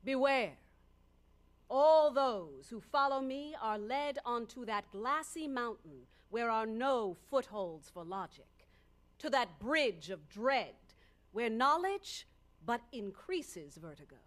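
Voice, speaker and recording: A middle-aged woman performing an ominous, majestic star spirit in a deliberate, incantatory verse reading. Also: a little high, animated. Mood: solemn warning. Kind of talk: formal dramatic verse reading. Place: concert stage in a radio performance hall. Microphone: close studio mic on boom.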